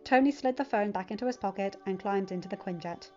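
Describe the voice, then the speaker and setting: A woman's voice: medium pitch, fast talker, dark tone. Female audiobook reader for fan fiction, slightly muffled recording with music underneath. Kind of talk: scripted story narration, audiobook reading. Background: background music.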